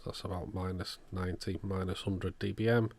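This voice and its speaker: A man with a low voice and a strong creak talks through a hands-on repair. He goes methodically, one step after another, in the manner of an electronics technician showing his work. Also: level tone, moderate pace, darkish tone. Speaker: electronics repairer, channel host. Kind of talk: hands-on repair demonstration narration.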